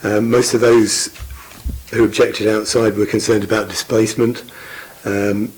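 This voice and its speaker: Brief: council officer, man, low husky voice, flat delivery, measured and halting. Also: low-quality recording. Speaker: council officer presenting a report. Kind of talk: formal report reading. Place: council meeting room.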